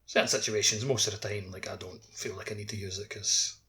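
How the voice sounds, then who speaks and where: Male co-host, lowish voice, informal and enthusiastic gamer talk. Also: steady tone, fairly quick, pushed and bright, slight background noise. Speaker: podcast co-host. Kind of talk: casual video game discussion.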